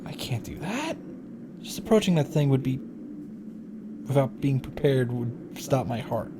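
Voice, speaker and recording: A man reading a visual novel's grave young protagonist in a hushed, solemn, measured way. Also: medium pitch, sing-song, background noise. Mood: grim, hushed. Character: grave young male protagonist narrating a deadly battle. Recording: music behind the voice. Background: music.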